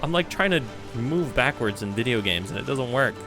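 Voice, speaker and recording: A man's voice: medium pitch, expressive, moderate pace, over music. A man giving casual, profane gameplay commentary as he streams, often repeating short phrases. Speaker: video game streamer.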